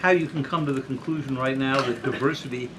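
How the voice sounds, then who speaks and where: A man working as a reporter, putting a careful, halting but persistent question at a press conference. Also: mid-range voice, fairly even intonation, fairly bright tone. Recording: clear background room noise.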